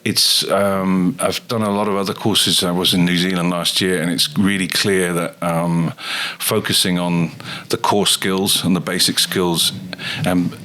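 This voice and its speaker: A rugby coach talking casually as a guest, with many ums and pauses. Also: male voice, sits low. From England. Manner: hesitant, many ums, relaxed. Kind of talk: casual interview chat.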